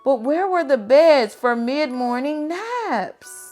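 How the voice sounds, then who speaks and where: A woman reading children's picture books aloud in an expressive, dramatic and deliberate storytelling style. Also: high voice, faint music behind.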